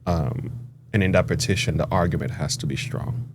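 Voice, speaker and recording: Man, very low voice, halting, soft-spoken discussion lead; noisy hiss behind. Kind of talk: casual group discussion.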